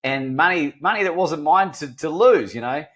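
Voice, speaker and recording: A man's voice: fairly high pitch, lively intonation, normal speed, a bit bright. A middle-aged man with a mixed New Zealand and Australian accent, telling his life story as a podcast guest in an earnest, self-deprecating way with frequent restarts. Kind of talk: candid storytelling interview.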